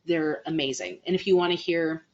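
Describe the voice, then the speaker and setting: A woman hosting a casual livestream, chatting to viewers in an animated, playful way, on dull lo-fi audio. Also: low-pitched, fairly quick. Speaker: livestream host and band musician.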